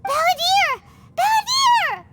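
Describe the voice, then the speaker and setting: A squeaky little floating companion. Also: female voice, sing-song, slow, full character voice. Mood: alarmed. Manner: high squeaky exclaiming, pitch jumping around. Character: small excitable floating companion creature, girlish. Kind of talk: video game cutscene dialogue. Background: loud game score music.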